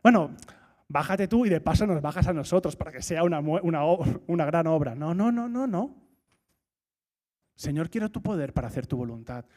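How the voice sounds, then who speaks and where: A man's voice: a little high, lively intonation, brisk, darkish tone. A man preaching a sermon in church. He asks rhetorical questions, repeats his key points and jokes now and then.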